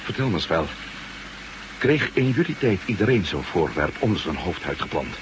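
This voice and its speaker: An actor playing a driven former astronaut turned reporter, pressing hard and insistent in a radio drama. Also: male voice, deep voice, sing-song, moderate pace, background noise. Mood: urgent. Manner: urgent, insistent.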